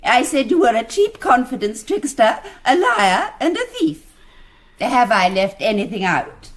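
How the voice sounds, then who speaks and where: An actress with a British accent playing a prim, sharp elderly landlady in a radio drama, speaking in a crisp, formal, cutting way. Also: a little high, big pitch swings, unhurried. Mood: accusing. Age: older.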